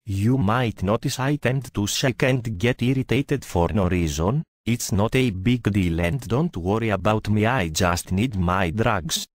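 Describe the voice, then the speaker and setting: A man's voice: on the low side, a little slow, hardly any pauses, no room sound, clean studio sound, close to an everyday voice. A man playing a jittery, casually wheedling addict dwarf in an amateur fairytale. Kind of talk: amateur storybook acting.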